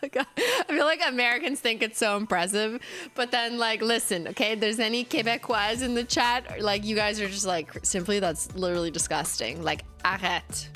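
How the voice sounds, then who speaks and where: A woman's voice: a little high, moderate pace, pushed and bright, soft background music. A young Canadian woman with a Canadian accent hosting a casual livestream while sick and losing her voice, chatty and laughing often. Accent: Canadian English. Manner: chatty, laughs often, switches between English and French. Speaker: livestream host, brand founder.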